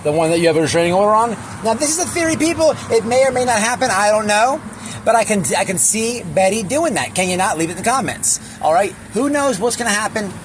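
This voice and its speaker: High-pitched man ranting over clear background noise. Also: animated. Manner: repetitive, halting, emphatic, crude slang. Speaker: online commentator. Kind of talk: casual opinionated reaction rant.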